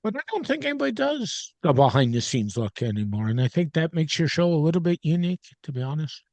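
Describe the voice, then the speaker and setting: A man's voice: a little high, big pitch swings, fast, gravelly, a little mellow, no echo. A man hosting a podcast, friendly and agreeable in easy conversation. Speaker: podcast host. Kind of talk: casual interview chat.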